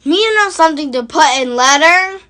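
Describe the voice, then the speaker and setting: A woman voicing a greedy, excitable male monster with broken, simple grammar in a storybook. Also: sits high, normal speed. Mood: eager.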